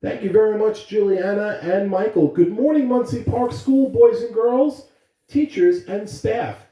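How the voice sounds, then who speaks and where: A man's voice: high-pitched, unhurried, mellow. A man speaking emphatically and directly to children, giving school announcements and telling stories. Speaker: school announcer reading aloud. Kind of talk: morning announcements and storytelling for children. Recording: echoey room, distant microphone.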